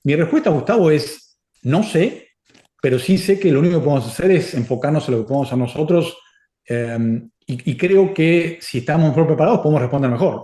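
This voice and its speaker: A middle-aged man whose first language is Spanish, answering audience questions in a casual, animated way with many restarts. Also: on the high side, echoey room. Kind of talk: casual Q&A talk.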